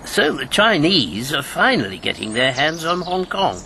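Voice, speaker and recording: A man's voice: fairly high pitch, sing-song, moderate pace. An actor playing a talkative, needling British ex-agent in his fifties in a radio drama, rambling and sardonic. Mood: sardonic, exasperated. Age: 50s. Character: talkative, needling fifty-year-old male ex-wartime agent. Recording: clear background noise with birdsong.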